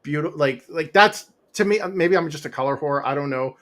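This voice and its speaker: A man's voice: fairly high pitch, a little fast, a bit bright. Rambling middle-aged man on a home mic. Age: 40s to 50s. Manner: rambling, laughing, lots of fillers and restarts. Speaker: interview guest, comic author. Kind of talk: casual interview chat. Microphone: home podcast mic with pop filter.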